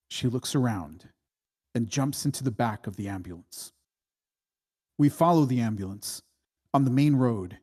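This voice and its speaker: A man narrates screenplay stage directions at a slow pace. His voice is mid-pitched, with a measured, matter-of-fact delivery. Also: darkish tone.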